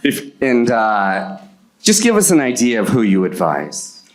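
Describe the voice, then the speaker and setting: Playful guy co-hosting, lively bouncy voice, teasing the guests. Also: mid-range voice, measured pace. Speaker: podcast co-host. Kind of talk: live podcast hosting.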